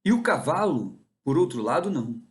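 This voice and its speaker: A man from the interior of São Paulo, Brazil, talking in a rambling, reflective monologue, musing and often pausing mid-thought. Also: fairly high pitch, very animated.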